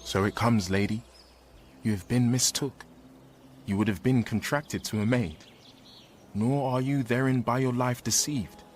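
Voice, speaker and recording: Male actor as young twin brother, ardent, earnest, mid-range voice, verse drama, soft music behind. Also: mid-range voice, steady tone, a little slow, pauses often, close to an everyday voice. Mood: gentle. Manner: heartfelt, earnest. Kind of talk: radio drama Shakespeare acting.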